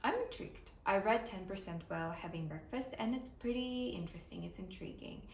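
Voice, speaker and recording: A woman's voice: medium pitch, a little fast, slight background noise. Woman, native Ukrainian speaker, casual book vlog, thinking aloud, faint ambience behind. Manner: chatty, thinking aloud with restarts. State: tired. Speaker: book vlogger. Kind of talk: casual vlog chat about reading. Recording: roomy, far from the mic, faint background ambience.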